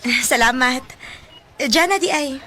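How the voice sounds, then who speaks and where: A woman's voice: high-pitched, slow, pushed and bright, a little hiss or hum, heavily acted. A woman playing a friendly, sweet young woman with a Cebuano accent in a Philippine radio drama.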